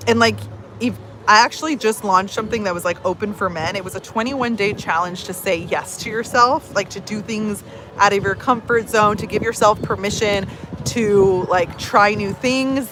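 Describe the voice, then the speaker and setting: A woman's voice: mid-range voice, a bit bright, a little hiss or hum, poor audio. A woman in her thirties, a personal growth coach, chatting casually to camera with many fillers. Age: 30s. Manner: chatty, many fillers, reads comments aloud.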